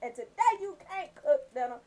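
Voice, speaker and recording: A woman hosting a radio show, giving casual, comedic shout-outs in a sassy, joking, profane way. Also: high-pitched, sing-song, normal speed.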